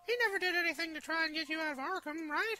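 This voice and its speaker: A little scheming puppet in a superhero fan dub. The voice is extremely high and bright, pushy and excitable, with faint music behind. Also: female voice, very fast. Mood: wheedling.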